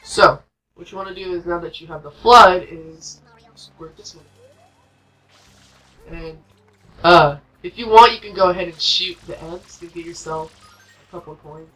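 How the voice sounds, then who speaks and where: Bright low-pitched woman doing gameplay commentary. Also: moderate pace, pauses often, low-quality recording. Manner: rambling, informal. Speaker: host of gameplay video series. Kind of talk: casual unscripted gameplay commentary.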